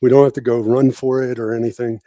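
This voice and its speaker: Plain-spoken man, mellow and dry. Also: mid-range voice, flat delivery, fast talker, dry recording, dull audio. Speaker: podcast guest, technology professional and army veteran. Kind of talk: conversational explanatory interview answers.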